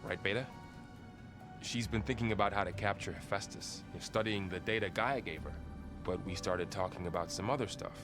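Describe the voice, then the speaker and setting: A supportive young man in a video game, played by an actor with a deep voice. He talks gently and casually, coaxing like a friend, over clearly audible music. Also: fairly quick, lightly acted. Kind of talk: video game cutscene dialogue.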